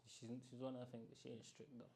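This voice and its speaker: A man's voice: mid-range voice, completely flat delivery, quick pace, vocal fry, dark tone. Laid-back young south London man. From south-east London. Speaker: guest. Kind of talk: casual interview answers.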